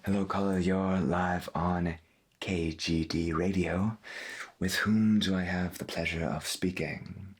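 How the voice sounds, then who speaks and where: Man acting as veteran late-night radio host, very low voice, husky and breathy, folksy, gentle, soft-spoken ASMR roleplay. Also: fairly even intonation, exaggerated voice. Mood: calm, intimate. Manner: slow, deliberate, folksy, half-whispered.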